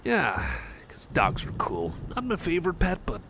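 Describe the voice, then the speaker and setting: A man's voice: on the high side, huge pitch swings, normal speed, a bit bright, exaggerated voice. Man playing a nervous, stammering cyborg, stormy background. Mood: fond, rambling. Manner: stammering, hesitant, rambling, repeats himself. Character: anxious, timid young male cyborg buddy, gentle and apologetic. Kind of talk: ASMR roleplay, intimate chat to listener. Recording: noisy thunderstorm ambience behind voice.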